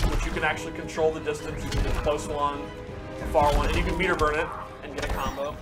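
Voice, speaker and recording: A man casually explaining fighting game moves in an instructive, enthusiastic way. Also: a little high.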